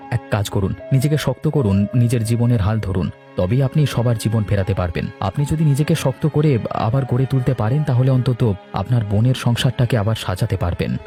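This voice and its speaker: A man voicing a generous, reassuring young bachelor in a cartoon, measured. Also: mid-range voice, dark tone, faint music behind, natural voice. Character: generous, steadying young bachelor back from the city. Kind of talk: cartoon dubbing.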